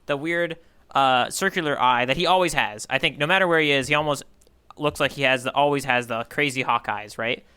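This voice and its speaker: A man co-hosting a casual manga readalong podcast, feeling his way through his points in halting phrases. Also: mid-range voice, pushed and bright, clean tone. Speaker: podcast co-host.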